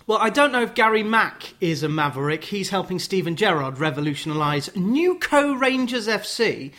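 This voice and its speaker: Radio host guy, slightly high voice, dry jokey asides. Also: big pitch swings, normal speed, sharp tone. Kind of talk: conversational interview.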